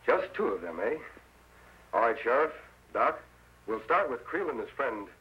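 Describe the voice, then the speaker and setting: An actor playing a masked frontier hero, commanding and decisive, giving crisp orders in a vintage radio western. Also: male voice, medium pitch, sing-song, normal speed, sharp tone, rough recording. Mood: planning. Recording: hissy old radio recording.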